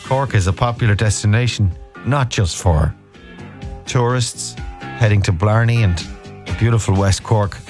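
A man's voice: on the low side, moderate pace, a little mellow, soft background music. An Irish man hosting a radio show, chatting playfully with puns. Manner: playful, punning. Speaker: radio host. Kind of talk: jokey radio chat.

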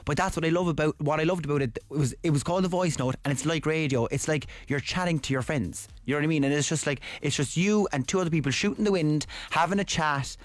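A man's voice: on the high side, quick pace, hardly any pauses, no room sound. A man with a Cork Irish accent, a radio newsreader chatting as a guest in a loose, rambling way with many fillers and restarts. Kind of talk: casual radio chat.

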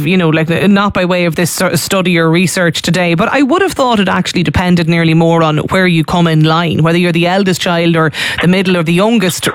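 A woman's voice: on the low side, no room sound. Chatty woman hosting radio. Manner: chatty, conversational with fillers. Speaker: radio show host. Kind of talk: live radio talk show. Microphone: studio mic.

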